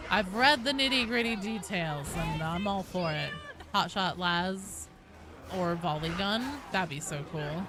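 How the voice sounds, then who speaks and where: A woman's voice: medium pitch, noisy background. A woman game streamer chatting casually and answering viewers, opinionated and enthusiastic, with casual swearing. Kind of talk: casual livestream chat reading and answering viewers.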